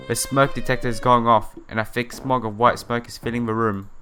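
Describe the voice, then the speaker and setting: A man with a bright, forceful voice reads a visual novel aloud for a gameplay video. He is theatrical and slips into casual asides and exclamations. Light guitar music plays behind him. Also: medium pitch, steady tone, a little fast. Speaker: let's player.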